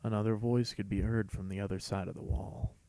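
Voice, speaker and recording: A man's voice: on the low side, little pitch movement, brisk, warm tone, dry recording. A man reading a scripted fan fiction story as host and narrator.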